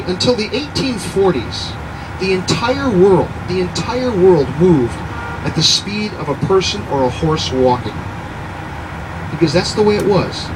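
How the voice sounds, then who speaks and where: A man in his sixties giving a guided city tour to a group, chatty and jokey, often quizzing his listeners. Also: fairly high pitch. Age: 60s. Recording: noisy outdoor recording with traffic.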